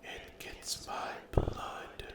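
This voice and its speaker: A man playing a menacing, hungry sleep paralysis demon in a whispered ASMR roleplay, breathy and deliberate, taunting the listener. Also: echoey room. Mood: hungry, savoring. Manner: very slow, deliberate, menacing, with long pauses.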